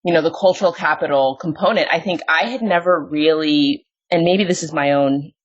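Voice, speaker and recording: A woman's voice: low-pitched, fairly quick. A woman hosting a podcast interview, talking candidly and with self-deprecating humor, often breaking into laughs. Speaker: podcast host. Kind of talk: conversational interview. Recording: dull lo-fi audio.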